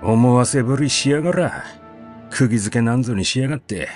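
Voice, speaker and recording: A man reading the part of a rough, grumbling male cement laborer who talks in coarse, cursing speech. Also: medium pitch, moderate pace, darkish tone, faint music behind, natural voice. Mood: irritated.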